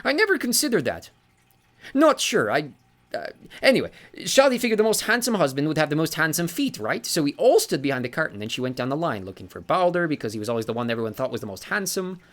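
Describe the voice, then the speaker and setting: A man's voice: a little high, sing-song, fast talker, fairly bright tone, pitched above the performer's own voice. A man voicing a vain, cheerful ancient male sea god in an audiobook, breezy and self-satisfied. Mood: boastful. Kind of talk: audiobook character voice.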